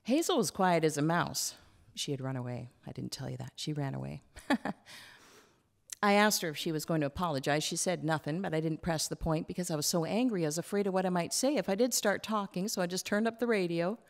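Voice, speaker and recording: Woman to play plain-spoken older grandfather for a novel reading, gruff yet tender, confiding. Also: fairly low pitch, brisk. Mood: fed up. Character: gruff, tender grandfather in his late sixties. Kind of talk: acted literary reading.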